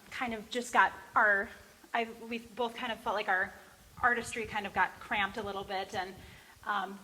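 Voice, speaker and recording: A woman with an American accent chatting casually between songs in a barn, informal and halting, often correcting herself. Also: medium pitch, bright, forceful tone, slight background noise, low-quality recording. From Minnesota, USA. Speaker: singer introducing songs.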